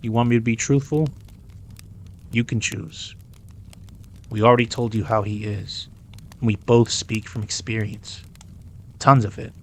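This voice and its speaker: A man acting as a dominant, cocky mafia boss in an intimate audio roleplay, smooth-talking, teasing and possessive. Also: medium pitch, slight background noise, natural voice.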